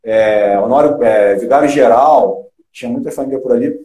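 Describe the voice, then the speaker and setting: A middle-aged man from Rio de Janeiro with a Carioca accent, a former footballer chatting as an interview guest, halting with many restarts and fillers. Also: mid-range voice, warm tone. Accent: Carioca Brazilian Portuguese. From Rio de Janeiro, Brazil. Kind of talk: casual interview chat. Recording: echoey room.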